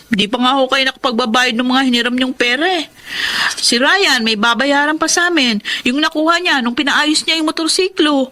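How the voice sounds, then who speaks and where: A woman's voice: sits high, moderate pace, bright, forceful tone. An actress playing a weary, protective young wife and mother in a Filipino radio drama, respectful but firm and plaintive. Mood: aggrieved. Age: young adult.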